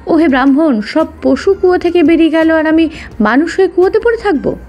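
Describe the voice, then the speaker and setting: A woman's voice: extremely high pitch, animated, a little fast, dark tone, highs cut off, faint music behind, pitched above the performer's own voice. A woman voicing a scheming male goldsmith in a children's story. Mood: indignant.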